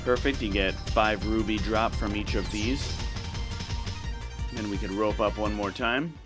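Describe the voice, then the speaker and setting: Low-voiced man giving game walkthrough. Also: unhurried, music in the background. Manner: instructional, step-by-step. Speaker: gameplay video host. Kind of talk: casual walkthrough commentary.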